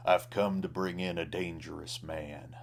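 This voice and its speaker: A man voices a hard-bitten cowboy lawman from a Western tale. The voice is low and drawling, with folksy, slow talk from a tough bounty hunter. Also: steady tone, vocal fry, exaggerated voice. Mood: menacing.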